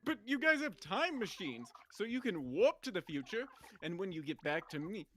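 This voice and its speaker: Confused comic game hero, man performing: high, very animated, self-important and questioning, with some noise behind. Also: normal speed, exaggerated voice. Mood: confused, indignant. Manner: excitable, questioning.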